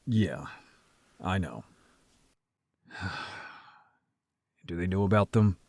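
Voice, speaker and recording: A man playing a gruff, protective, teasing werewolf brother with a husky voice and a blunt, guarded way of talking, in an audio drama roleplay. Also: deep voice, animated, very slow, a little mellow, no echo, heavily acted. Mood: guarded, serious.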